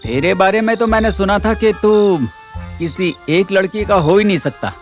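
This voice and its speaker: A man's voice: sits high, moderate pace, soft background music, lightly acted. A man playing a cocky, sadistic knife-wielding villain in an audio drama, taunting and sneering with mock politeness. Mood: menacing, teasing. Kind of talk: Hindi audio drama acting.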